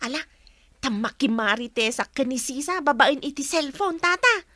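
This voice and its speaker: A woman's voice: high voice, animated, moderate pace, pushed and bright, dry recording. An actress playing a helpful, polite young village woman in a radio drama.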